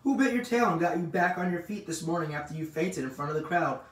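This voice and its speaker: A man's voice: fairly high pitch, lively intonation, fast talker, pitched above the performer's own voice, lightly acted. A man voicing a lazy, self-pitying storybook rat, growling, sarcastic and full of mocking complaint. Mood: indignant boasting. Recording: roomy, distant mic with faint noise.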